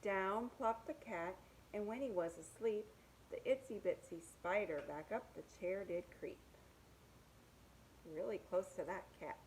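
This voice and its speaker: Slow-paced woman reading to children. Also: medium pitch, darkish tone, slight background noise, poor audio. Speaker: read-aloud host for young children. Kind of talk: reading picture books aloud with asides about the pictures.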